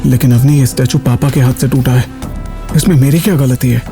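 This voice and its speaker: ऑडियो ड्रामा में एक आदमी घबराए, दब्बू, लाचार और झिझकते युवा घर जमाई का किरदार निभा रहा है।. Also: male voice, mid-range voice, steady tone, a little fast, warm tone, soft background music, lightly acted. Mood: aggrieved. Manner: hesitant, apologetic, helpless. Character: meek, anxious, browbeaten young live-in son-in-law. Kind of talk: audio drama acting.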